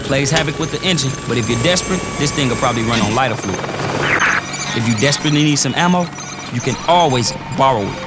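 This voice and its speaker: A man's voice: medium pitch, lively intonation, fast, loud music behind the voice, natural voice. A man playing a cocky wisecracking briefing officer. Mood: upbeat. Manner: slangy, cocky briefing patter. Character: brash male military briefing officer, wisecracking. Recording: loud noise under game audio.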